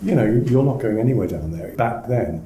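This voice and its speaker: A man's voice: mid-range voice, expressive, a little fast, mellow. A man, telling wry, blunt anecdotes as a casual interview guest. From South Wales. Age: 50s. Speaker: podcast guest, family lawyer. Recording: echoey room with faint noise.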